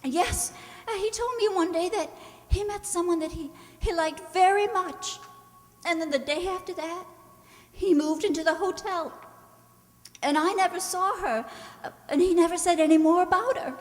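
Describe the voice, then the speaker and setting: Radio drama daughter, female actor: extremely high pitch, polite, fragile, hesitant delivery, reverberant hall. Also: moderate pace. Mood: upset, hesitant. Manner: faltering, stammering, tearful. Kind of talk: old-time radio drama acting. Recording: echoey hall, long reverb.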